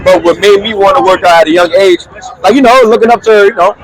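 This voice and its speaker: A man's voice: sits high, steady tone, fast, rough recording. A man in his twenties, a calisthenics athlete, talking earnestly and casually about motivation in a street interview. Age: 20s. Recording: outdoor crowd chatter.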